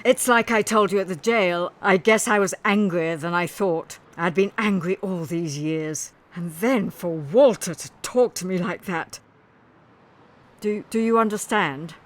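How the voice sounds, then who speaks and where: A woman playing an anguished, embittered woman confessing in a radio drama, halting and pleading. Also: on the low side, lightly acted.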